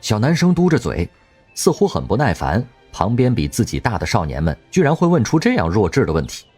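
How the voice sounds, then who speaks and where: A man narrating an audio drama in a scripted, measured literary storytelling style. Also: on the low side, huge pitch swings, a little mellow, husky, faint music behind.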